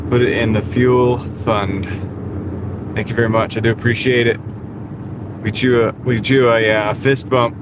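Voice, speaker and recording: A man chatting casually with viewers on a livestream while driving for deliveries, greeting people and chuckling often. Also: mid-range voice. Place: inside a car on the road. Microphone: small wireless clip-on mic. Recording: car road noise in background.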